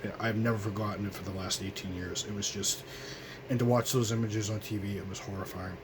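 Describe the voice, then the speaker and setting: A hoarse man in his twenties from the United States hosting his own radio show, speaking in a halting, earnest way full of ums and restarts. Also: on the low side, fairly even intonation, noisy background. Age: 20s. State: hoarse, coughing. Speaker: host of a home radio show. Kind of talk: solemn remembrance monologue.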